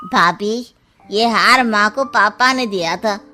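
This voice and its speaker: A woman's voice: medium pitch, slow pace, bright, forceful tone, soft background music. A woman storyteller playing a selfish, impatient grown son with a grumbling, offhand manner. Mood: curt. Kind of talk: storybook character acting.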